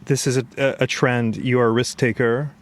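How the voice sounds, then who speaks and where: A man hosting a podcast, reading a measured scripted intro and then interviewing a guest. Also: mid-range voice, level tone. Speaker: podcast host.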